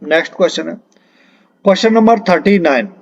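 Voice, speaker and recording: A man's voice: sits high, lively intonation, fast talker, vocal fry, lo-fi sound. A man teaching an exam-preparation lesson step by step, explaining patiently and repeating key terms as he works through questions.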